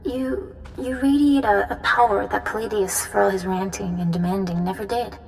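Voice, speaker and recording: An actress playing a deferential, clever young cult follower in a video game, speaking respectfully and earnestly. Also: female voice, medium pitch, fairly even intonation, normal speed, gravelly, a little hiss or hum, natural voice, poor audio.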